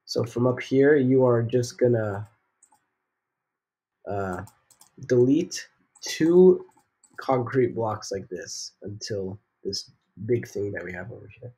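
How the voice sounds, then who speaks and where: A man's voice: medium pitch, lively intonation, slow, pauses often, warm tone, breathy edge. A teenage boy voicing a casual building tutorial while sick, rambling with fillers and jokey asides. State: sick, voice a bit off. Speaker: gaming tutorial host.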